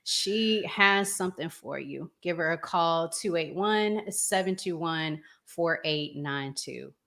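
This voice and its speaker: A woman with a Southern American accent, hosting a casual chat and talking in a relaxed, chatty way with frequent fillers. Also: low-pitched, takes it slowly, vocal fry. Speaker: host.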